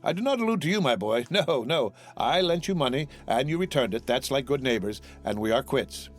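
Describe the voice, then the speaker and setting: A man's voice: medium pitch, lively intonation, a little fast, soft background music, voice pushed up, lightly acted. A man voicing a grinning, envious young tailor in a storybook, effusive and over-familiar, repeating himself. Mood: sly, effusive. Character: grinning, wheedling tailor neighbor, slyly envious man in his twenties.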